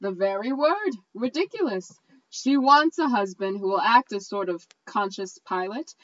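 A woman playing a sly, suave male friend and former rival in a comedic play, with a measured, arch, theatrical delivery. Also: medium pitch, lively intonation, fairly bright tone, close to an everyday voice. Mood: arch, confiding. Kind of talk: cold-read comedic stage play. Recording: dull lo-fi sound.

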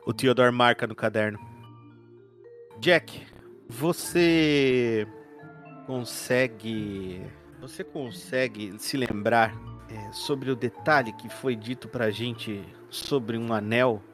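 A man's voice: mid-range voice, takes it slowly, fairly bright tone, soft background music. A man with a Brazilian accent casually narrating his character's actions as a role-playing game player.

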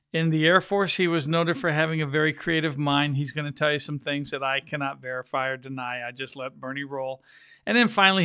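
A man's voice: fairly high pitch, pushed and bright, clear, resonant tone, no echo, clean studio sound. A man in his sixties introducing a podcast episode in a self-deprecating, lightly chuckling way. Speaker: podcast host.

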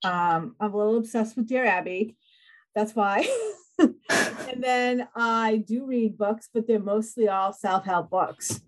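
A woman hosts a podcast and chats in a bubbly, self-deprecating way. Her voice sits a little high, and she laughs easily as she talks. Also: takes it slowly, low-quality recording. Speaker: podcast host. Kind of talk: casual interview chat.

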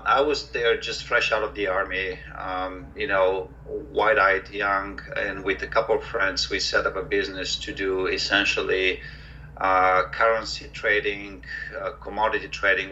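A man in his forties from Bulgaria, with a fairly low but very bright, sharp voice. He talks slowly and reflectively, pausing often with ums and uhs, over faint background noise. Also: lo-fi sound. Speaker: entrepreneur guest. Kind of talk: conversational interview.

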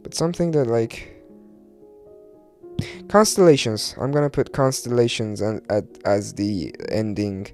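A man's voice: fairly low pitch, unhurried, darkish tone, soft background music. A man from Iran who later moved to Turkey talks alone as a podcast host in a rambling, confessional way, hesitant and self-deprecating, swearing casually.

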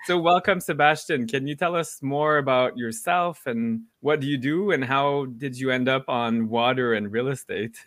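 A man's voice: medium pitch, normal speed, few pauses, a bit bright, no room sound, clean studio sound. A man hosting a casual interview, joking and poking fun at himself. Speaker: podcast host.

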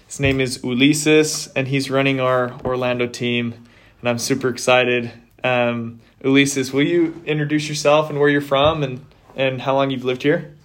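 A young male podcast host who sounds upbeat and eager. He speaks in a flat, even tone and uses casual slang. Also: mid-range voice, moderate pace. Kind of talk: podcast interview.

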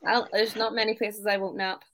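A woman's voice: mid-range voice, little pitch movement, normal speed, a bit bright. A woman guest who does pageants, telling stories in a chatty, rambling way. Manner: chatty, filler-heavy storytelling. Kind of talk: casual chat.

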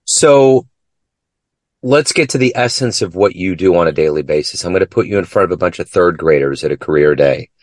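A man with a deep voice hosts an interview in a dry, echo-free recording. He lays out folksy, structured questions in a conversational style. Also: lively intonation, a little fast. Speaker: podcast interview host.

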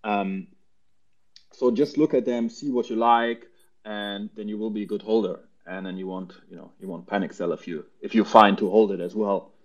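A man explaining things casually as a panel guest, haltingly and often repeating words, over lo-fi voice chat audio. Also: on the low side, fairly even intonation.

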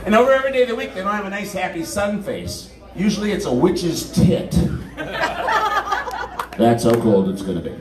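A man's voice: sits high, big pitch swings, normal speed, heavy background noise. A middle-aged man with a Wisconsin accent doing stand-up, full of swearing and self-mocking jokes. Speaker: comedian. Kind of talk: stand-up comedy bits. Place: large hall. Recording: roomy hall, distant mic, loud crowd.

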